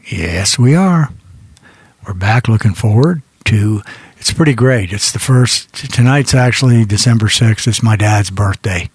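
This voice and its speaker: A man's voice: on the low side, animated, measured pace, slightly rough, no echo. A man hosting a casual radio show, chatting in a folksy, rambling way and often repeating himself.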